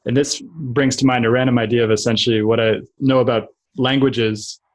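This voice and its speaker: A man hosting a casual podcast interview, thoughtfully and haltingly feeling his way through his questions. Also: mid-range voice, fairly even intonation.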